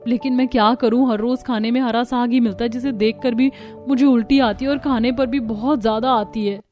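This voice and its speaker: A woman's voice: a little high, fairly even intonation, brisk, a little mellow, faint music behind, lightly acted. A woman voicing a scheming, put-upon young daughter-in-law in a cartoon story, complaining dramatically. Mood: exasperated.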